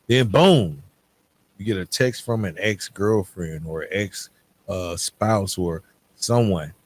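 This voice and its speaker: A man's voice: deep voice, slight background noise. A man hosting a solo podcast, talking candidly and informally in a half-scripted, conversational way, checking in with "right?" as he makes his points. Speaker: podcast host.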